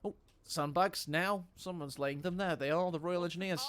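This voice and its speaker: High-voiced playful male game caster. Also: moderate pace, a bit bright. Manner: playful, jokey, chats to viewers. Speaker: esports caster. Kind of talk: live game commentary on stream.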